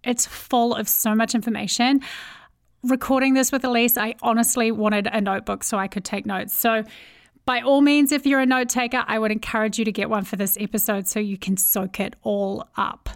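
A woman's voice: a little high, fairly even intonation, normal speed, no echo, studio quality. Upbeat New Zealand woman hosting a podcast. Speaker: podcast host. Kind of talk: scripted podcast intro and interview.

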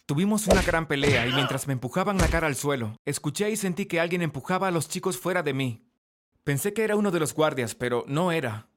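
A man's voice: a little high, animated, quick pace, fairly bright tone, faint music behind. A man reading a scripted first-person story as narrator.

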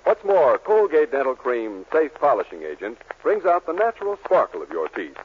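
A male American announcer delivers a polished 1940s sales script with an animated, persuasive swing. His mid-pitched voice comes through a thin, tinny vintage radio recording with faint noise. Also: moderate pace, breathy edge. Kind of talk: 1940s radio advertising narration.

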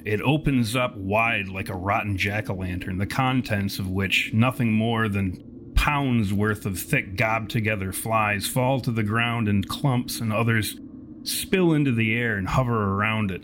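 A man's voice: lowish voice, a bit bright, slight background noise. A man narrating a tabletop horror game as game master, in a descriptive storytelling style.

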